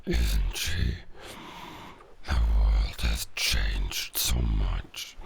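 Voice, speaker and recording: A man voicing a weary, melancholic dying werewolf in an audio drama, speaking slowly and solemnly in a gravelly, breathy growl. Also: extremely low pitch, a little hiss or hum, full character voice. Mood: resigned, wistful. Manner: slow, solemn, poetic. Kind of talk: slow dramatic audio roleplay monologue.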